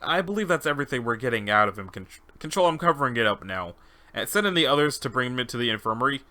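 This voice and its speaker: A man voicing a calm, clinical doctor who speaks formally and politely while running an experiment in a dramatized interview log. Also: mid-range voice, quick pace. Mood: brisk, procedural.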